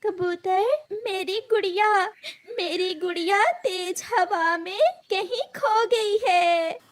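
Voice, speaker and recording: A woman's voice: very high-pitched, fairly even intonation, takes it slowly, pitched above the performer's own voice. A woman voicing an anxious, tender mother bird in a cartoon, pleading and emotional, over background noise. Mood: tearful. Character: anxious, weeping mother bird, tender toward her daughter.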